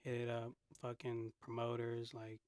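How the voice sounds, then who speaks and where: A man's voice: mid-range voice, completely flat delivery, unhurried, darkish tone, dry recording, studio quality. A man chatting casually as a podcast guest, laid-back and blunt, with slangy, heavily profane speech. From San Francisco Bay Area. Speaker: podcast guest, hip-hop artist. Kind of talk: casual chat.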